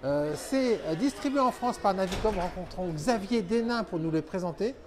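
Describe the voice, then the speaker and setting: A man with a slightly high-pitched voice works as a show interviewer. He talks with open enthusiasm, tossing in exclamations and short reactions as he goes. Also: animated, moderate pace.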